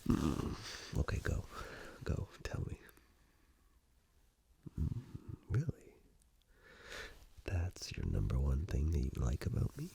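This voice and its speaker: A man in a soft, unhurried, breathy ASMR roleplay, half-whispering close to the microphone as a flirtatious, playful romantic partner. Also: a bass voice, wildly swooping pitch. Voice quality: breathy, gravelly.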